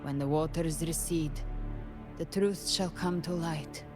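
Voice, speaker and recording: An actress playing a cool, cryptic guardian in a video game, speaking with deliberate, theatrical poise as she explains. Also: low-pitched. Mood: cryptic, assured. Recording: game music clearly behind the voice.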